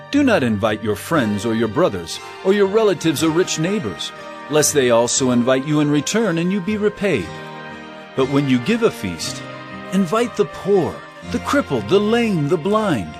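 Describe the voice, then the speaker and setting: An earnest teacher delivers parables with measured, emphatic oratory. His voice sits a little high and swings widely in pitch, with music clearly behind it, in the manner of an audio drama. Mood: instructive, earnest. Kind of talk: audio drama Bible acting.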